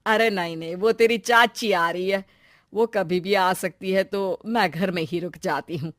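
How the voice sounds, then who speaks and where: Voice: mid-range voice, steady tone, a little slow, a bit bright, dry recording, studio quality, lightly acted. An actor playing a fussy but caring older mother-in-law in a cartoon family drama. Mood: protesting gently.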